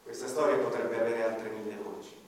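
A man performing a radio-theatre monologue as a dead man telling his own story, solemn and slow, his voice swelling and then sinking low. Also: fairly even intonation, a little hiss or hum. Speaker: narrator. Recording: echoey hall, voice far from the mic. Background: violin music.

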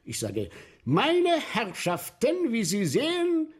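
A man's voice: very high-pitched, huge pitch swings, takes it slowly, husky, exaggerated voice. An actor playing a boastful, eager sewer inspector in a radio play, telling of his show like a theatrical, relishing storyteller. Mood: showmanlike. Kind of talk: 1970s German radio play acting.

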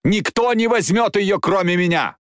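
A man's voice: on the low side, sing-song, slow, no echo, heavily acted. Guy doing a cocky obsessed young pilot man, fierce n stubborn, dry audiobook sound. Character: proud, obsessed young male fighter pilot.

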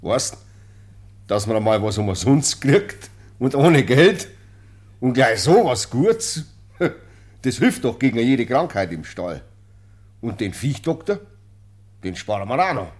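An actor playing a scornful, self-righteous veterinary official in a German radio play, with a Bavarian accent and an indignant, emphatic way of talking. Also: male voice, mid-range voice, very animated, slow, long pauses, a little mellow. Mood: sly, folksy.

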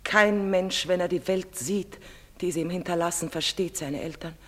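Woman playing a mournful dignified lady in a play, really low n grave, halting, echoey hall. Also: lightly acted. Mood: mournful, resigned. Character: melancholy, dignified woman bidding farewell. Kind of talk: theatrical stage-play acting. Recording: reverberant hall, faint noise.

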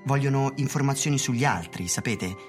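A woman's voice: extremely low pitch, lively intonation, brisk, faint music behind. A woman playing a polite, friendly male census taker in a radio play. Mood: earnest, curious.

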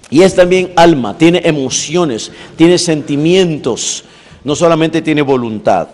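A man giving a preaching-style lecture on evangelism theology, speaking deliberately and building long lists of points with emphasis. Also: high-pitched, darkish tone. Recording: long reverb in a large hall.

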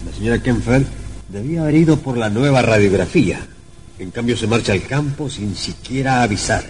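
An actor playing a suspicious, pondering police inspector in an old radio drama, with theatrical delivery. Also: male voice, mid-range voice, brisk, natural voice. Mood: brooding.

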